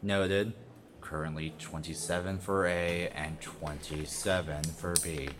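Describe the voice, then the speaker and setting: Man as a low-voiced, officious vote tallier. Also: little pitch movement, takes it slowly, background noise. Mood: dry, procedural.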